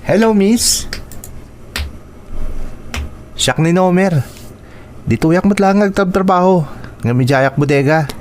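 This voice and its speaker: Friendly young Ilocano suitor. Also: male voice, high-pitched, a little slow, a little mellow, a little hiss or hum. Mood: friendly, joking. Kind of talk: radio drama acting.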